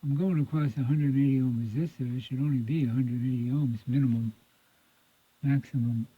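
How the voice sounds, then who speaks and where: Guy mumbling thru a repair job, kinda husky, folksy, thinking out loud, tinny lo-fi phone sound. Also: medium pitch, fairly even intonation, no room sound. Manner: informal, folksy, halting mutter while working. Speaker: radio repair hobbyist presenting own repair video. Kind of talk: hands-on repair demonstration, thinking aloud. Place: indoors at a repair workbench. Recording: muffled phone-like audio, lo-fi.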